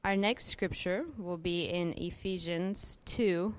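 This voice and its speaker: A woman's voice: fairly low pitch, steady tone, takes it slowly, smooth. A woman reading scripture aloud carefully, then announcing the next passage. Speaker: scripture reader.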